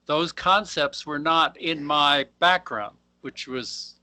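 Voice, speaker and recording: A man hosting an interview, asking questions in a halting way and rephrasing mid-sentence. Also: a little high, pushed and bright. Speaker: interview host. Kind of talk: conversational interview.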